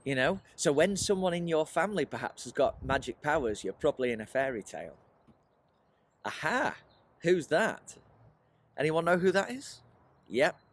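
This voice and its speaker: A man leading a children's writing workshop in an animated, encouraging presentational style, often putting questions to his listeners. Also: a little high, slight background noise. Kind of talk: presentational teaching aimed at children, with rhetorical questions.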